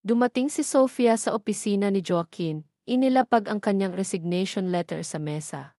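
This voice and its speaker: A woman with a Filipino accent reading a romance story aloud as a steady, scripted narration. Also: medium pitch, normal speed, dry recording, studio quality. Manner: steady storytelling read, voicing all characters lightly.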